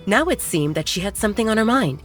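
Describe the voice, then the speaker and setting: A woman's voice: mid-range voice, expressive, fast talker. A woman narrating a scripted romance audiobook in a dramatic storytelling style. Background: faint background music.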